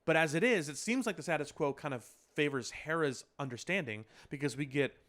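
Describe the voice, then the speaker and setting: A man's voice: on the high side, expressive, brisk, fairly bright tone. A man hosting a casual pop culture podcast, reasoning things out analytically in stops and starts, with some casual swearing. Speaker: podcast host.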